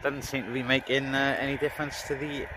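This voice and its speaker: A man with a medium-pitched voice talks casually to his viewers in an outdoor radio hobby vlog. He is off screen, speaking towards a camera mic in a garden. The recording is heavily noisy, with outdoor ambience and radio hiss behind him. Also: level tone, fairly quick. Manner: informal, addresses viewers as guys. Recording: loud outdoor noise, radio static. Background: outdoor ambience and CB radio noise.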